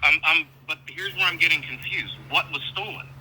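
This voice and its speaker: Firm, very sharp-toned policeman on the phone. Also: a little high, fairly quick. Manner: methodical, firm, clarifying point by point. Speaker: police officer taking a report. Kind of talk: phone conversation, questioning a caller. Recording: noisy telephone call with hum.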